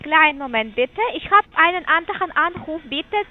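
Female phone sales agent, high pitch, polite and scripted, heard over a tinny phone line. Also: lively intonation, normal speed. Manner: polite, scripted questions, hesitant fillers.

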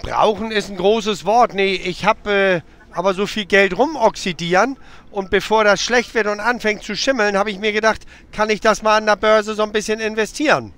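Guy pranking on the phone, kinda high voice, totally deadpan jokes. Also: moderate pace. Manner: deadpan, jokey, plays along. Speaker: prank caller.

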